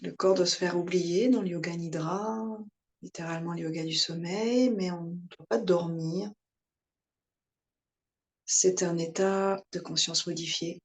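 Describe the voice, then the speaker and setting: A woman leads a guided relaxation as a yoga teacher. Her delivery is calm and soothing, very slow and broken into short phrases. The voice stays flat and even, with a noticeable creak. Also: lowish voice. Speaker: yoga nidra instructor.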